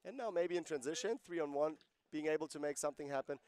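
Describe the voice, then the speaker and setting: A man's voice: a little high, quick pace, crowd noise. A man giving live play-by-play commentary on a lacrosse game, conversational and explaining rules and player backgrounds between plays.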